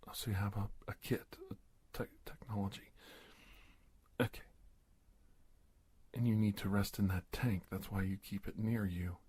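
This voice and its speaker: A man playing a courteous, startled investigator doctor in an ASMR roleplay, speaking in a hushed, breathy, stammering way. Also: on the low side, fairly even intonation. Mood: astonished, bewildered. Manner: halting, stammering, formal and courteous. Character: startled, polite investigator doctor facing an alien. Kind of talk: ASMR roleplay monologue, soft-spoken and whispery.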